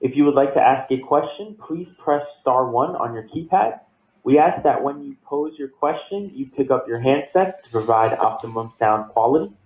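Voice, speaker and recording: A man's voice: medium pitch, animated, normal speed, darkish tone. A man working as a conference call operator, giving scripted instructions in a polite, formulaic way. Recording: tinny phone line, roomy echo.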